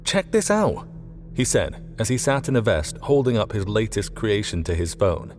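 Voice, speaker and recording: Low, expressive male audiobook narrator. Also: moderate pace. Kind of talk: scripted fiction narration. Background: faint music.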